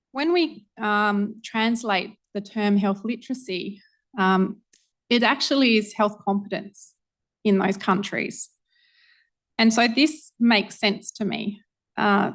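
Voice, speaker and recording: A woman researcher giving a public lecture, earnest and organized, often pausing with ums. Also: medium pitch.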